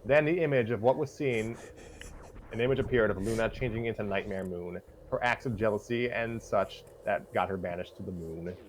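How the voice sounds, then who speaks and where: A man's voice: fairly low pitch, moderate pace, a little hiss or hum, low-quality recording. A man hosting and narrating a live read-along of a fan story. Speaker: host and narrator.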